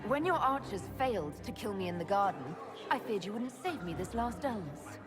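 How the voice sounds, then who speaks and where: A woman's voice: mid-range voice, sing-song, normal speed, close to an everyday voice. An actress playing a shrewd, coolly confident female leader in a video game, dry, composed and pointed. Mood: coolly taunting. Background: music.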